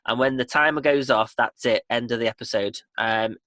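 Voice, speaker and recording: Bright-voiced upbeat male interview host. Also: medium pitch, steady tone, moderate pace, no room sound. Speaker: podcast host. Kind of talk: casual interview chat.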